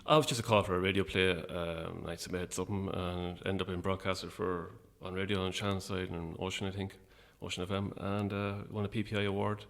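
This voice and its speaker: Deep, gravelly middle-aged Irish man. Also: flat delivery, brisk, few pauses, a bit bright. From Ireland. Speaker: guest, playwright and actor. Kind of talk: casual interview chat.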